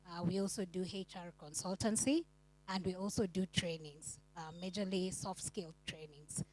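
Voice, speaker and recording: A woman's voice: mid-range voice, a little mellow, low-quality recording. Woman recruiter with hesitant fillers. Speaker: head of recruitment and trainer. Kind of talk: panel self-introduction.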